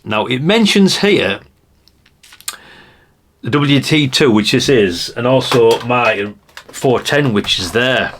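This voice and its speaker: A man's voice: medium pitch, animated, slow, long pauses, a bit bright. A middle-aged man with a British accent, giving a casual, unscripted show-and-tell in a rambling, chatty way, talking informally to his viewers. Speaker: vlogger showing vintage CB radios and catalogues.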